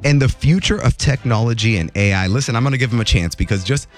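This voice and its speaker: A man hosting a morning radio show, telling entertainment news in a jokey, animated way. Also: quick pace, faint music behind. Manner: animated, jokey, voice jumps high for emphasis.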